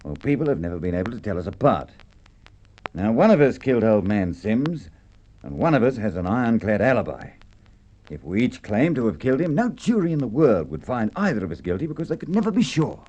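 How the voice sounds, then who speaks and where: An actor playing a cool, calculating schemer in old-time radio drama, with an Australian accent. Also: male voice, lowish voice, big pitch swings, a little fast, mellow. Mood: scheming, confident. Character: cool, calculating, scheming twin brother of a killer. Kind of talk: Golden Age radio drama acting. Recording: dull old radio recording with hiss.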